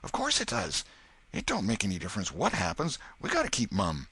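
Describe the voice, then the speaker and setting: A man voicing an excitable young boy, bold yet superstitious, whispering urgently in rustic dialect. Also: on the low side, fairly quick, husky, no room sound, voice pushed up, full character voice.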